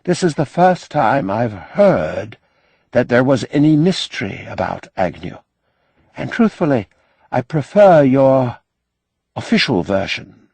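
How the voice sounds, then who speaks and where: A man voicing a calm, courteous male officer who speaks slowly and deliberately in an audiobook. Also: medium pitch, creaky, breathy edge, no echo, pitched above the performer's own voice, heavily acted. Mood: calm, sincere. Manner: slow, deliberate speech.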